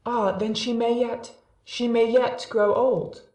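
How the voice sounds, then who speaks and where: A proud, lofty god of light played by a man speaks archaic stage verse in a measured, declamatory way. The delivery is very slow, with strong room echo and some distance from the microphone. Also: very high-pitched, steady tone, a little mellow, full character voice. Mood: pleading. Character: Apollo, proud god of light pleading for mercy. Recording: echoey room, distant from mic.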